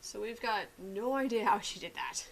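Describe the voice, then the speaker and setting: A woman's voice: medium pitch, expressive, normal speed, vocal fry, rough recording. A woman co-host chatting casually during a gaming let's play.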